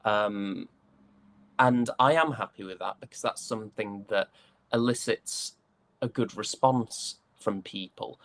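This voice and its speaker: A man's voice: on the low side, a little slow, creaky, fairly bright tone. A man, a stage actor, chatting casually in an interview in a hesitant, reflective way, often correcting himself.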